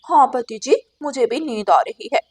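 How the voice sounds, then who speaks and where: A woman's voice: fairly high pitch, animated, normal speed, darkish tone, slightly rough. A woman voicing a doting, conniving female crow wife in a cartoon, with a fawning manner. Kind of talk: cartoon character acting.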